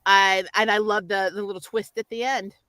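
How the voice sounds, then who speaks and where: Female review host, bright forward voice, dry sound, informal and opinionated, rambling casual chat. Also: medium pitch, flat delivery, moderate pace, dry recording. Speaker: host of a pop culture review show.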